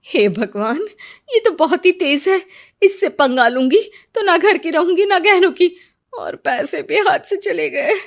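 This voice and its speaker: A woman voicing a greedy, easily outraged middle-aged mother-in-law in a cartoon story, with shrill exclamations. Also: expressive, measured pace, vocal fry, exaggerated voice. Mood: alarmed.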